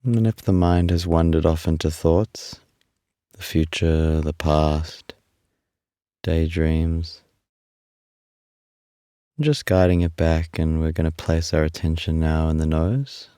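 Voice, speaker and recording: A man leading a guided body scan meditation in a calm, unhurried, soothing way, leaving long pauses between instructions. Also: very deep voice, clean tone, dry recording, clean studio sound.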